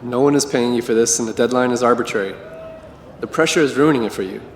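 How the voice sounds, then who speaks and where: A matter-of-fact male brain voice, acted by a man, talking inside a writer's head in an audio drama. He is candid and supportive, mid-pitched, swimming in long reverb. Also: quick pace, natural voice. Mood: candid, reassuring. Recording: echoey long reverb, faint ambient noise.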